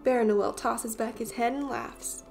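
Higher-pitched woman reading a storybook. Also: fairly even intonation, a little slow, vocal fry, a little mellow, faint music behind. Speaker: storyteller reading a picture book aloud. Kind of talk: expressive children's storybook narration.

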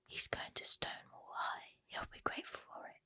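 A woman's voice: normal speed, sharp tone. A woman whispering the lines of a blustering, bullying middle-aged uncle in a storybook reading. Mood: angry. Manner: whispered throughout.

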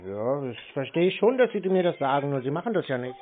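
A man with a fairly high-pitched voice speaks over a thin, tinny phone line. He is skeptical and persistent, pressing the other side with challenging questions. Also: sing-song, fast. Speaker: prank callee confronting phone scammers. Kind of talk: phone conversation, probing questions.

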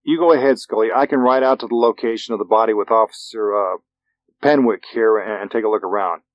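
A man's voice: lowish voice, steady tone, fast, no room sound, dull audio, lightly acted. An intrigued American federal agent acted by a man in an audiobook. He is decisive yet offhand in how he talks.

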